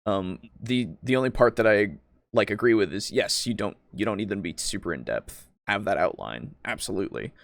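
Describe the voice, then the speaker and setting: A man's voice: on the low side, expressive, fairly quick, vocal fry, no room sound. A man co-hosting a casual tabletop roleplaying discussion, rambling and searching for words, with some casual swearing. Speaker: podcast co-host.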